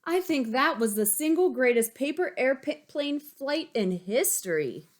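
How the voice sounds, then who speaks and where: A stern monster of a schoolteacher from a children's storybook, acted out by a woman reading aloud. She speaks in big, drawn-out exclamations, often raised high and loud. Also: big pitch swings. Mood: amazed.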